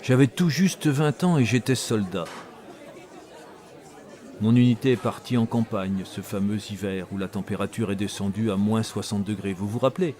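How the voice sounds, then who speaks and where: A man's voice: fairly low pitch, moderate pace, warm tone, some chatter behind, natural voice. An actor playing a talkative old ex-soldier, telling his story conversationally and teasingly in an audio drama. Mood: wry, reminiscing. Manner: conversational, teasing, addresses the listener directly. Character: talkative old male ex-soldier telling tall tales in a tavern.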